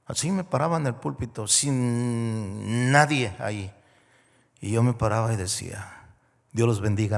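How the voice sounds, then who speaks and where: A man from Mexico preaching a sermon as a guest pastor, moving between hushed storytelling and loud, rising preaching. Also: medium pitch, gravelly. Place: large church auditorium. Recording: long hall reverb.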